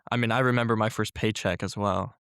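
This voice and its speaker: Young guy, college freshman, talks fast and kinda flat, smooth clear voice, jokey and chill. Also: lowish voice, no room sound, studio quality. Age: young adult. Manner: relaxed, upbeat, joking. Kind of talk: casual interview chat.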